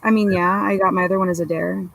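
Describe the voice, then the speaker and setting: A woman in her late twenties with a low, masculine-sounding voice, chatting casually as a guest in a frank, self-deprecating way. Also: steady tone, quick pace, creaky, noisy background. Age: late 20s. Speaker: interview guest. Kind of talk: casual candid chat.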